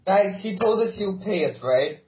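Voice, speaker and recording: A man's voice: high voice, lively intonation, normal speed, slight background noise. A man joking and teasing his friends in a casual podcast chat. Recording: muffled lo-fi sound.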